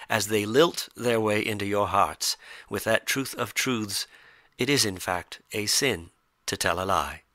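A man's voice: fairly low pitch, pushed and bright, dry recording. A man playing a genial, wordy old-time radio bandleader host with folksy, mock-pompous, flowery announcing. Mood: mock-grand. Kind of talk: 1940s radio broadcast acting.

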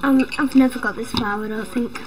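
A woman's voice: a little high, fairly even intonation, fairly quick, crowd noise, low-quality recording. A woman hosting her own gaming videos and losing her voice, rambling through casual gameplay commentary with sudden startled exclamations. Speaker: gaming channel host.

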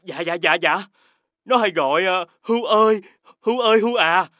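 High-voiced meek, dim servant. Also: male voice, normal speed, sharp tone, no echo, studio quality. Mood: sleepy, flustered. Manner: stammering, hesitant, deferential. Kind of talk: animated folk tale dubbing.

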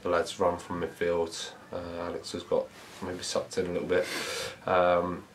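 A man, a football manager giving a measured post-match interview, thinking aloud with many fillers. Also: deep voice, completely flat delivery, a little hiss or hum. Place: small indoor press room before a sponsor board.

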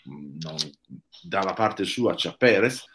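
Deep-voiced older man on a video call. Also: expressive, slow, a bit bright. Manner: thoughtful, hesitant, lots of fillers and restarts. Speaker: guest technical expert. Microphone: video call webcam.

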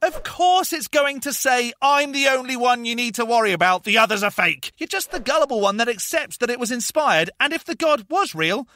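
A man giving sarcastic reaction commentary over a video, mocking and animated. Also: extremely high pitch, a little fast, few pauses, no echo.

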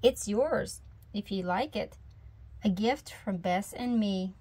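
A woman's voice: medium pitch, takes it slowly, dark tone, husky. Woman as a generous farmer bear. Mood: kindly.

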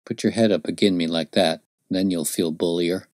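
A man voicing a gaunt, dying frontiersman who speaks gently in rustic dialect, with a low voice. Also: steady tone, fairly quick, no echo, studio quality, close to an everyday voice. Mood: gentle.

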